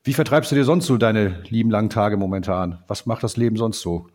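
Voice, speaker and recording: Husky, sniffly male podcast host. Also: lowish voice, brisk, darkish tone. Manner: chatty, lots of filler sounds. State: stuffy nose from a cold. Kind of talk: casual interview chat.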